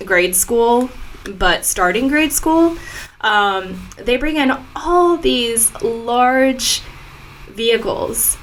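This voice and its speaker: A woman's voice: mid-range voice, a bit bright, a little hiss or hum, low-quality recording. A woman co-hosting a casual podcast, chatty, adding details and clarifying points. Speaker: podcast co-host. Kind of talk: casual chat.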